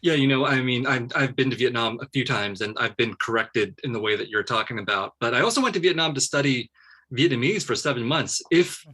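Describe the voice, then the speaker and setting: A man hosting a relaxed online panel conversation, joking lightly and steering the talk. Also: mid-range voice, a little fast, breathy edge. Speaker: host. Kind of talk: casual conversation over video call. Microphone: video call.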